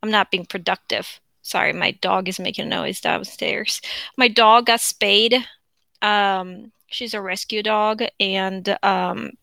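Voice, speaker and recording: Korean-accented woman, solo podcast host, rambling and bright, close and dry. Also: mid-range voice, steady tone, takes it slowly, vocal fry, dry recording. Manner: rambling, chatty, frequent fillers and restarts. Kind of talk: casual unscripted monologue.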